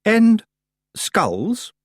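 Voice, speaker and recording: A man voicing a pompous, falsely polite middle-aged woman witch with a high, sugary voice. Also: huge pitch swings, drawn-out words, dry recording, clean studio sound, exaggerated voice. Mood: falsely sweet. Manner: falsely polite, gushing, grave.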